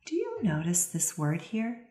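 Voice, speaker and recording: A woman with a fairly low voice interprets an instructional presentation as a voice-over. She explains in measured, clearly separated phrases. The sound is noticeably roomy and reverberant. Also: huge pitch swings, warm tone.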